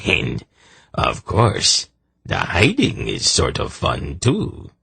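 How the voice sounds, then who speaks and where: Man playing a coarse crafty trader, blunt and offhand, deep husky voice, storybook. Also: huge pitch swings, slow, a bit bright, no room sound, full character voice. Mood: gruff. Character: coarse, crafty male trader, fond of ale.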